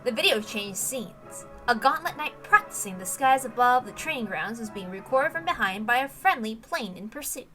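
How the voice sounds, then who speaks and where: A woman's voice: fairly high pitch, expressive, moderate pace, few pauses, bright, forceful tone, soft background music. A woman reading a story aloud as dramatic scripted narration, with emphatic, theatrical delivery. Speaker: reader of a visual novel.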